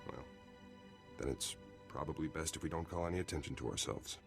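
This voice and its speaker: An easygoing military captain for a video game. His voice sits very deep, with a friendly, wry, casual delivery. Music is clearly heard behind. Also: brisk. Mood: relaxed, confiding. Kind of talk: video game cutscene acting.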